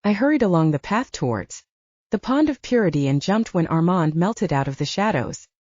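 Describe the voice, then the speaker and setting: A woman's voice: lowish voice, very animated, normal speed, a little mellow, dry recording, lo-fi sound. A woman narrating first-person fiction as a scripted audiobook, recorded close in a dry space.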